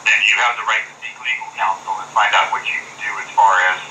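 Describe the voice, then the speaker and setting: A man's voice: on the low side, fairly even intonation, quick pace, bright, forceful tone. A man working as a police dispatcher, speaking firmly and procedurally on an official phone call, often repeating himself. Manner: firm, procedural, repetitive. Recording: echoey telephone call, faint noise.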